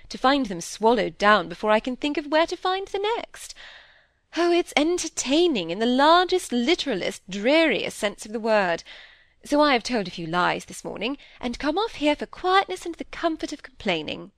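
Woman performing young noblewoman, high-pitched, very animated, imperious, teasing, world-weary, emphatic, period audiobook. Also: moderate pace, no room sound. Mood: playfully exasperated. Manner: breezy, imperious, emphatic, playfully complaining. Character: aristocratic young lady, frank, teasing, world-weary, breezy. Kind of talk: audiobook character voice in a period novel.

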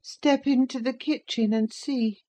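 Lady doing a sick whiny teen boy, high and fretful, complains about everything. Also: steady tone, measured pace, warm tone. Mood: peevish. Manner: whining, querulous. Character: sickly peevish teenage boy invalid.

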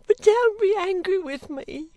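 High-pitched, plaintive frightened servant girl. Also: lively intonation, unhurried, mellow, no room sound, highs cut off. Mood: frightened, distraught. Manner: plaintive, pleading, breathlessly repetitive. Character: frightened, piteous young servant girl, wretched and eager to please. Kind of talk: audiobook character acting.

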